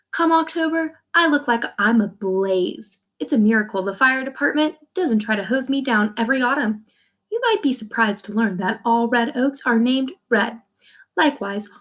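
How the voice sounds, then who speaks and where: Higher-voiced woman reading a story aloud. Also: fairly bright tone. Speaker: teacher reading a children's novel aloud. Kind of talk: read-aloud storytelling with brief explanations.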